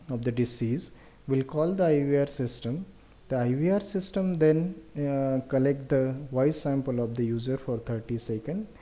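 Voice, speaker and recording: A man with an Indian accent presenting a research project in an explanatory way, with halting, deliberate phrasing. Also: medium pitch, warm tone.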